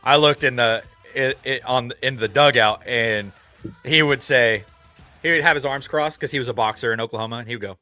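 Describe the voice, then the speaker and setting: A man with a sharp, bright voice talks sports emphatically, leaning on plenty of "like"s as he goes. Faint music plays under him. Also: medium pitch, fairly quick, no room sound. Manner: emphatic, filler-heavy with many "like"s. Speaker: co-host. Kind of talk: casual sports chat.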